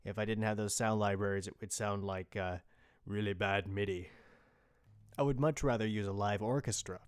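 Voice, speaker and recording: A man's voice: fairly low pitch, steady tone, moderate pace, clear, resonant tone, no room sound, studio quality. A man hosting a podcast, talking casually and off the cuff in a loose, rambling, informal way. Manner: informal, rambling, mildly profane. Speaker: podcast host and creator. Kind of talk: casual unscripted podcast talk.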